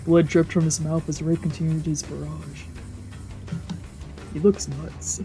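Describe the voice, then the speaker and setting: A woman streamer reading a visual novel aloud with casual, excitable, sweary reactions. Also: low voice, a little slow, soft background music. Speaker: let's play streamer.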